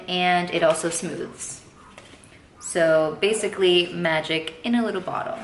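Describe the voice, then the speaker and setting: A woman's voice: mid-range voice, fairly bright tone. A woman in her thirties chatting casually as a beauty vlogger at home, rambling with playful asides. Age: 30s. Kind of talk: casual unboxing chat. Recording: echoey room, faint background noise.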